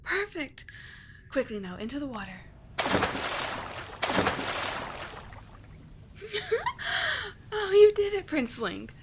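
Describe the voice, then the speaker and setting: A woman voicing a doting, teasing sea witch mermaid in an ASMR roleplay, soft and breathy, often near a whisper, with soothing, formal storybook phrasing. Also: high voice, very animated, normal speed, heavy background noise. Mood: delighted.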